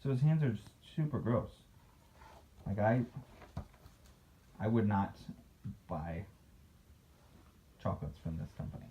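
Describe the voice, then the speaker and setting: A man's voice: fairly low pitch, sing-song, unhurried, pauses often. A man reading a picture book aloud as a dad to a child and chatting about it in a playfully critical, conversational way. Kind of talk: storybook read-aloud with casual chat. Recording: dull, noisy home recording.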